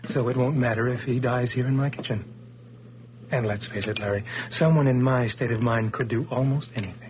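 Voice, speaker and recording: A man's voice: medium pitch, a little fast, background noise, close to an everyday voice. An actor playing a cold, vengeful man who holds an old friend at gunpoint in a radio play, speaking in clipped, menacing lines. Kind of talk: 1980s radio drama acting.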